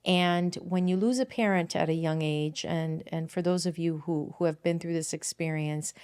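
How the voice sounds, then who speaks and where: A woman's voice: fairly low pitch, darkish tone, clear, resonant tone. A woman in her fifties from Miami hosting her own podcast, telling her life story in an earnest, reflective way with frequent fillers and self-corrections. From Miami, Florida, United States. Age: 50s. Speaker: podcast host. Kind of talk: personal storytelling monologue.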